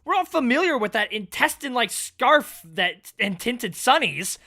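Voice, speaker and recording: A man's voice: very high voice, very animated, normal speed, pushed and bright, pitched above the performer's own voice, full character voice. A man voicing a hot-headed, fiercely loyal villain gang member while reading a manga aloud. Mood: urgent, fired-up. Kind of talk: dramatic manga read-aloud.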